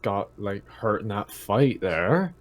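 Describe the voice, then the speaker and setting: A man's voice: on the low side, sing-song, slow pace. A man chatting casually as a tabletop roleplaying player, thinking aloud in a tentative way. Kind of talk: casual game-table chat.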